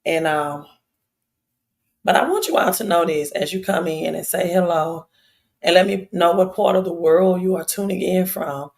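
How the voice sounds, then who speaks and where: A woman hosting a casual live stream, her voice raspy and hoarse, addressing viewers directly and repeating phrases for emphasis. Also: fairly low pitch, fairly even intonation, normal speed, a little mellow.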